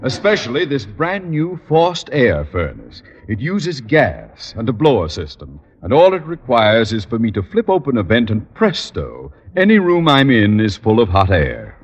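A man's voice: mid-range voice, huge pitch swings, normal speed, few pauses, breathy edge. An actor playing a pompous, blustery, self-satisfied school principal and father in a radio sitcom. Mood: smug. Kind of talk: 1950s radio sitcom acting. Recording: old radio recording, long reverb.

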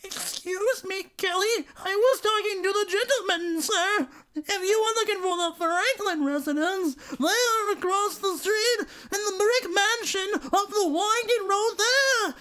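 A woman voicing a prim, elderly grandmother who speaks in a very high, shaky, drawn-out voice. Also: sharp tone, heavily acted.

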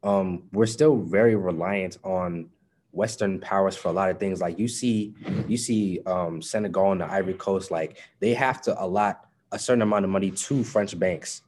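A young man with a Liberian accent, a guest in a casual discussion, speaking in a relaxed way that often trails off quietly. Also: low voice, normal speed. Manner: relaxed, trails off into softer speech.

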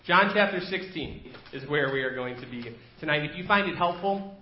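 A man's voice: on the high side, animated, normal speed, faint music behind. A man preaching an evening sermon as pastor, slightly nasal from a cold, talking in a conversational, storytelling way in a church. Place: church sanctuary. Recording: echoey church room, dull lo-fi sound.

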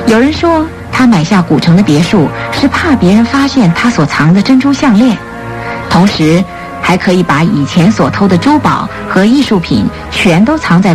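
A woman narrating a scripted radio drama in a measured, formal storytelling manner. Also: medium pitch.

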